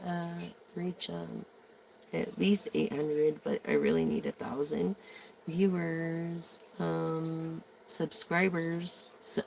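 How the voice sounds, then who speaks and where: Hoarse, gravelly slow-talking tarot reader. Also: medium pitch, a little hiss or hum, rough recording. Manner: slangy, meandering, many ums. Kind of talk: casual rambling tarot reading.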